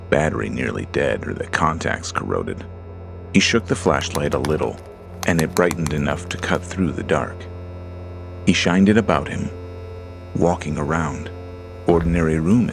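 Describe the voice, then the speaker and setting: A man narrating a horror story in a slow, measured, hushed storytelling manner. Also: rumbling low, lively intonation, creaky. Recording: music bed under voice.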